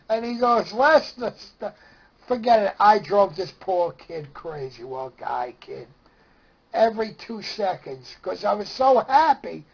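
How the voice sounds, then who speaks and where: Raspy, high-pitched Brooklyn man reminiscing. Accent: Brooklyn New York. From Brooklyn, New York. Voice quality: raspy, gravelly. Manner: excitable, acts out remembered dialogue. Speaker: interview guest. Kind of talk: animated casual storytelling. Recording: dull lo-fi sound.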